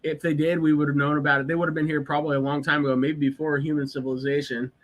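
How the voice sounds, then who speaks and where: A man's voice: on the high side, flat delivery, racing, lo-fi sound. A man co-hosting a casual live podcast chat, sick with a cough, rambling and restarting his sentences. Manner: rambling, hesitant, many restarts. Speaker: podcast co-host.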